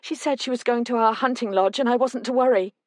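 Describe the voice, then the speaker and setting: Brief: woman doing a scared, weepy older mother who can't stop talking, pitched up, dry booth sound. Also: darkish tone, no echo, lightly acted. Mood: distraught. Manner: tremulous, rushed outpouring. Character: older mother, frightened and tearful.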